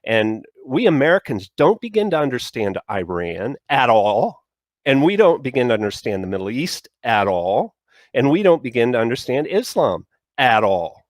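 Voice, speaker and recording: A man's voice: mid-range voice, sing-song, normal speed, no room sound, studio quality. A man hosting a talk show, speaking in rambling, stop-start phrases with many fillers. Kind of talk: casual talk show conversation.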